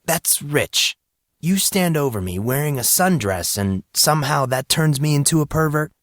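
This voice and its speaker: A man's voice: on the high side, animated, moderate pace, a bit bright, no echo, clean studio sound, pitched above the performer's own voice. A man voicing an embarrassed teenage boy, awkward and dryly sarcastic, in an audiobook story. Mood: exasperated, sarcastic.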